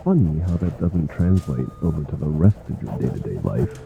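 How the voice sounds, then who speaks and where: A man's voice: very deep voice, sing-song, normal speed, creaky, highs cut off, background noise, exaggerated voice. A man playing a grumpy, exasperated male superhero in a comedic audio roleplay, with dry, weary sarcasm. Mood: fed up.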